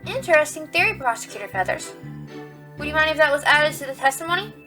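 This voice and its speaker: A woman's voice: high-pitched, animated, music in the background. A woman acting a determined young rabbit police officer, arguing assertively in a courtroom audio drama. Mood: wry, probing. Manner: assertive, deliberate, argumentative.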